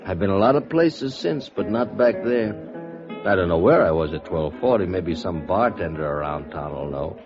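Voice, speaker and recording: An actor playing a middle-aged, bitter, theatrical drunk with a florid, rambling way of talking, in 1950s radio drama style. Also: male voice, deep voice, lively intonation, normal speed. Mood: amused, evasive. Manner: tipsy, rambling, florid, chuckling. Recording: muffled old radio recording, music behind. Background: music.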